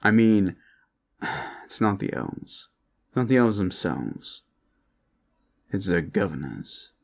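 Man playing a low, slow, serious protector. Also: very animated, creaky, exaggerated voice. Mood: stern, weary. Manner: measured, earnest. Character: weary, serious male protector, calm and cautious. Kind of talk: audio roleplay drama.

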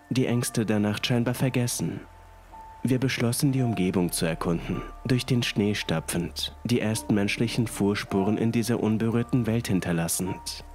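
Male narrator for creepy audiobook, lower register, scripted first-person delivery, music and rain underneath. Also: a little mellow. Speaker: horror story narrator. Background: music and rain sounds.

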